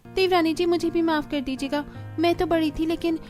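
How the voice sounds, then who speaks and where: A woman's voice: very high voice, steady tone, fairly quick, darkish tone, faint music behind. A woman voicing a haughty, irritable elder sister-in-law in a cartoon family drama, with a nagging manner. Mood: apologetic.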